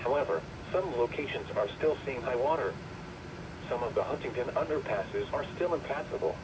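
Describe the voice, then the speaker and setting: A man's formal, impersonal, measured voice reading a scripted emergency weather bulletin, played through a weather radio. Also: medium pitch, expressive. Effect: weather radio playback. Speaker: weather radio alert announcer. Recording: noisy weather radio replayed in a room.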